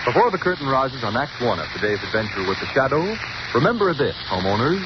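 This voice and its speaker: A man's voice: medium pitch, very animated, quick pace. A man as radio announcer, reading a commercial and introduction in an emphatic, persuasive 1930s style. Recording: dull old radio broadcast with hiss.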